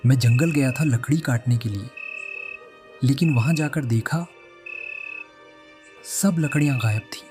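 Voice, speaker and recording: A man's voice: medium pitch, a little slow, long pauses, lightly acted. Man playing anguished poor village woodcutter, music behind. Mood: distraught. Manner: pleading, lamenting, repeats himself. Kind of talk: melodramatic Hindi moral-story cartoon acting.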